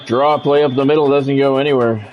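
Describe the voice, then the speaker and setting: Male American game streamer, play-by-play commentator with an easy conversational delivery, average pitch, slightly dull recording. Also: steady tone, brisk, soft background music. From United States. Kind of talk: sports play-by-play commentary mixed with casual livestream chat. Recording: dull lo-fi audio with faint noise.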